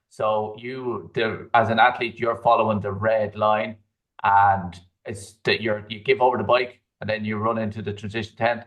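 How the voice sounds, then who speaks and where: A man who is a triathlete and guest coach, giving relaxed advice full of "like" and "um". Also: on the low side, recorded from a distance, poor audio. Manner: relaxed, fillers like "like" and "um". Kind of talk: casual advice chat.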